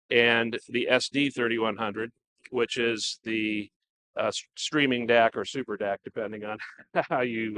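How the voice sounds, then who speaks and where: A man giving an unscripted, explanatory product presentation in a measured, halting way, as a company representative. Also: on the low side, a bit bright.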